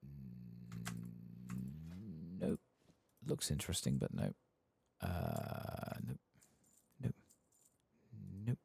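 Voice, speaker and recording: A man giving soft-spoken ASMR commentary over a game playthrough in an unhurried murmur. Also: a bass voice, sing-song, gravelly, breathy edge, no echo. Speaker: ASMR gaming streamer.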